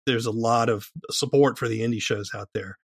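A man's voice: medium pitch, fairly even intonation, a little fast, gravelly, husky, no echo, clean studio sound. A man interviewing as a podcast host, casual, enthusiastic and agreeable.

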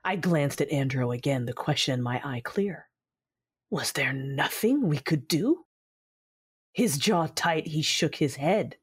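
A woman narrating a fan audio drama in the first person, her delivery soft and often hushed. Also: low-pitched, animated, measured pace, slightly rough. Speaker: writer, director and narrator.

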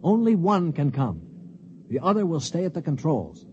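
An actor playing a cynical, commanding space prisoner who also narrates, in a 1950s radio drama. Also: male voice, a little high, sing-song, moderate pace, a little mellow, slight background noise. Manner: brusque, commanding. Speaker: narrator and lead. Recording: dull old radio broadcast.

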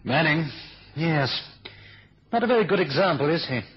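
An actor playing a dry, worried British government official in a 1970s radio spy drama, formal and understated. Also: male voice, a little high, animated, moderate pace, husky. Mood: dry, resigned. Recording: dull old radio recording.

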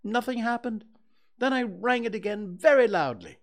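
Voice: medium pitch, sing-song, moderate pace, pitched above the performer's own voice. A storybook reader voicing a pompous, long-winded owl who acts learned and declaims things. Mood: chatty.

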